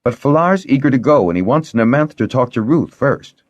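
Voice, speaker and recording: Male narrator doing a no-nonsense young woman, wry n teasing, pitched up, audiobook dialogue. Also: expressive, normal speed, dry recording, dull audio, natural voice. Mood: coaxing. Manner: firm, wry, matter-of-fact.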